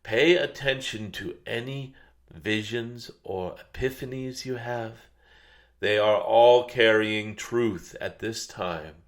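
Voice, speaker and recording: An ancient, wise dragon spirit, channeled by a man, gives a spiritual message to humanity. The voice is fairly low and very slow. It is solemn and gentle, like a guided meditation, with murmured hums in the pauses. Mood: soothing, solemn.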